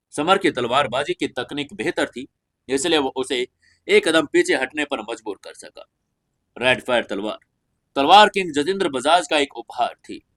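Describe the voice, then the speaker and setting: A man's voice: mid-range voice, fairly bright tone. A man reading a scripted fantasy audio story as narrator, with emphatic, dramatic storytelling delivery.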